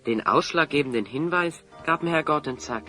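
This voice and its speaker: A man's voice: fairly high pitch, normal speed, sharp tone, faint music behind. A man acting a clever, confident hero in an audio drama, articulate and assertive as he reasons things out. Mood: firm, reasoning. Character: clever, confident male hero explaining a scheme.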